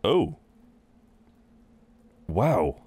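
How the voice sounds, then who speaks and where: A man playing an amused, seductive incubus in an intimate roleplay audio drama, teasing and soothing in an unhurried, halting way. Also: lowish voice, very animated, darkish tone, breathy edge, full character voice. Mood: calm, curious. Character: amused, reassuring, seductive male incubus innkeeper.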